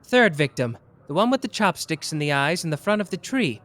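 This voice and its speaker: A man voicing a sharp, playful young detective in an ASMR roleplay, teasing and quick-witted. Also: fairly high pitch, sing-song. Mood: probing. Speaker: voice actor.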